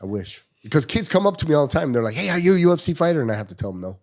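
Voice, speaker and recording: A man's voice: a little high, very fast. A man in his fifties, a podcast guest telling stories in casual chat and acting out the quotes. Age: 50s. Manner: animated storyteller, acts out quotes.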